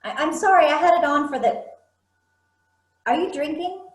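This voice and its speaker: A woman's voice: sits high, lively intonation, moderate pace, reverberant. An actress reading a chipper but flustered woman in her forties hosting a video meeting, stammering as she goes. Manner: hesitant, stammering. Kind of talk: staged play reading over video call.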